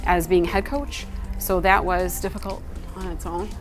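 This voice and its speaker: A woman's voice: fairly low pitch, fairly even intonation, normal speed, faint music behind. A middle-aged woman answering interview questions as a teacher and coach, speaking earnestly and reflectively in a conversational way. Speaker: interviewee, high school teacher and track head coach.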